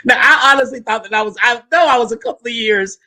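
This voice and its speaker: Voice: mid-range voice, fast, bright, forceful tone. A talk show host in their fifties chatting casually with a guest, sassy and folksy, repeating points for emphasis. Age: 50s.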